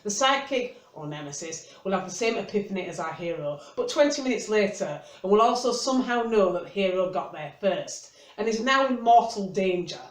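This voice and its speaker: The recording is roomy and echoing. A reviewer delivers scripted commentary with a dry, tongue-in-cheek manner, at a medium pitch. The delivery is that of a wry explainer walking through familiar material. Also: expressive, a little fast, few pauses. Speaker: book reviewer and video host.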